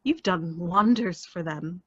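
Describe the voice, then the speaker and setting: An actress playing a polite, effusive official visitor in a radio play, gushing and officious. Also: female voice, lowish voice, slow pace, fairly bright tone, slightly rough, no room sound, muffled recording, heavily acted.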